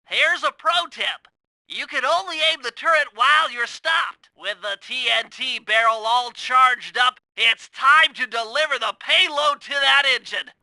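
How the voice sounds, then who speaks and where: Nerdy nervous turtle hacker from a video game, super high nasally voice, really sharp n bright, fussy techy talk. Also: male voice, unhurried, no echo, heavily acted. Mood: earnest, instructive. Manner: precise, fussy, technical briefing delivery. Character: nerdy, anxious young male turtle tech genius.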